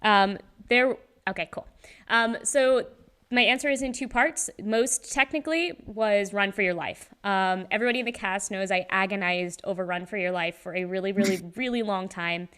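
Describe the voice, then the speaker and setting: A woman's voice: mid-range voice, normal speed, fairly bright tone, smooth. A woman chatting casually on a livestream Q&A about singing a role, rambling a little with many ums. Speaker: singer and voice actor in a fan musical. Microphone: handheld microphone.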